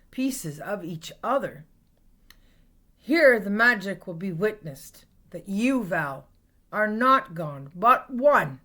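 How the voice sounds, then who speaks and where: A Canadian woman recites her own poetry at a very unhurried pace. Her pitch sits in the middle range for a woman. She is earnest and dramatic, placing each short phrase deliberately and pausing between them. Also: a bit bright. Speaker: poet reading own work. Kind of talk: dramatic spoken-word poetry reading.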